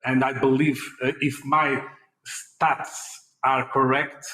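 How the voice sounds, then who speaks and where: A man in his forties with a Portuguese accent answers interview questions, halting often on fillers and restarted words. His voice sits at a middle pitch. The room around him is echoey. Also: little pitch movement, sharp tone. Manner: hesitant, many fillers and repeated words. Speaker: fintech CEO guest.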